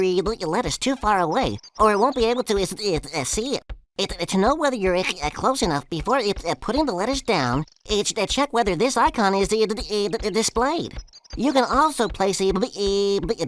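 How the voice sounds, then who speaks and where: A man's voice: sits high, a bit bright. A man voicing a timid, friendly, dim pig farmer in a cartoon video game, bumbling along with a heavy stutter. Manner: hesitant, bumbling, halting. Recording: slightly noisy game audio capture.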